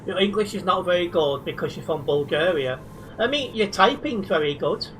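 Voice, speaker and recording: A man's voice: high-pitched, lively intonation, moderate pace, a bit bright, a little hiss or hum, low-quality recording. A man chatting casually to viewers while live-streaming a driving game, reading and answering chat, a little sleep deprived.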